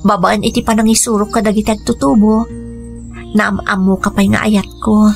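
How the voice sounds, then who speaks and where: A woman's voice: medium pitch, little pitch movement, normal speed, gravelly, lightly acted. An actress playing a devoted young activist woman in love, speaking sentimentally with an Ilocano accent in a radio drama. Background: music under the dialogue.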